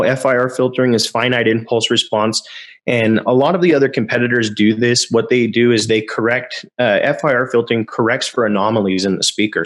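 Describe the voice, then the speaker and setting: A man explaining loudspeaker features in an enthusiastic sales-pitch manner, sometimes stumbling over a word. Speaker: product specialist. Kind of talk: product demo explanation.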